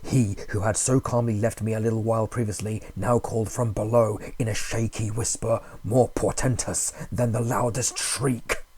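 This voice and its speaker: A man with a husky voice narrating a horror story in a grave, theatrical manner. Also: on the low side, fairly even intonation, moderate pace, hardly any pauses, a little mellow. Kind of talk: dramatic horror audiobook narration.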